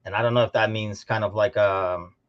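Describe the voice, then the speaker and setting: A man co-hosting a casual sports podcast, talking informally and thinking out loud as he discusses. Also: lowish voice, steady tone, a little fast, clear, resonant tone, lo-fi sound. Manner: informal, conversational, thinking aloud. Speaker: podcast co-host.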